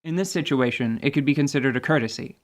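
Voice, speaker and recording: A man's voice: mid-range voice, fast talker. A man giving dramatic, scripted narration for a fantasy radio play. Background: music.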